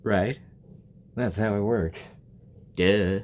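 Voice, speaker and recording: A man's voice: lowish voice, extremely slow, a little mellow. A man doing casual, blunt and joking reaction commentary as he plays a video game. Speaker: gaming video creator. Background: faint game music.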